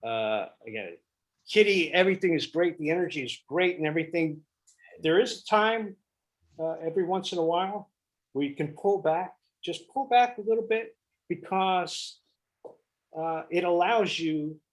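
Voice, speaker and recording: Halting, higher-pitched man giving notes. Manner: halting, thinking aloud, repeating himself. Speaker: director giving notes to actors. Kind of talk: casual spoken feedback.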